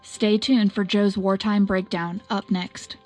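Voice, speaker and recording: Woman as composed wartime propaganda anchor; mid-pitched, formal, measured bulletin over music. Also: little pitch movement, a little mellow, natural voice. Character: composed female military propaganda news anchor. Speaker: news anchor. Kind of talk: scripted wartime news bulletin.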